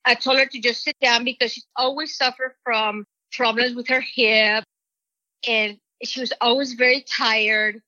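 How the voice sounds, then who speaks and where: A woman's voice: a little high, steady tone, sharp tone, dry recording. Earnest woman with a Mexican accent. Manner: rambling, earnest, halting restarts. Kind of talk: personal testimony. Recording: dull lo-fi recording.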